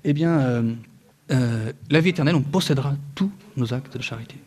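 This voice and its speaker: A man in his thirties gives an earnest religious talk to an audience. His voice sits at an ordinary middle pitch for a man, and his loudness rises and falls as he presses his points. He stumbles often on fillers and restarts, with dry touches of humor. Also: fairly quick. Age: 30s. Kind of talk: spoken religious teaching to an audience.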